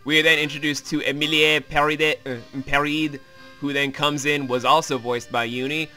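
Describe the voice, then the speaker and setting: A man's voice: on the high side, level tone, moderate pace, bright, forceful tone. A man hosting a joking, crude and irreverent recap of a video game. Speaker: host, self-described comedic voice actor. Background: game music.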